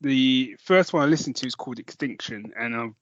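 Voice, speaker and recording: A man's voice: medium pitch, fairly quick, dry recording. Jokey man, dry dull recording. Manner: jokey, self-mocking, sweary. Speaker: podcast co-host. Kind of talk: casual chat, recommending audio dramas.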